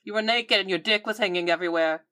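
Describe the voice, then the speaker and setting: A woman's voice: mid-range voice, quick pace, sharp tone, smooth, dry recording, clean studio sound. A woman playing a tabletop roleplaying game, casually narrating what her character does. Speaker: player in a tabletop roleplaying podcast.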